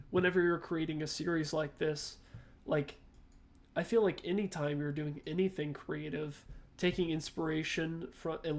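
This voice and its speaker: A man with a slightly high voice hosts a reaction video. He comments casually and off the cuff, working out his thoughts aloud in short, halting pieces.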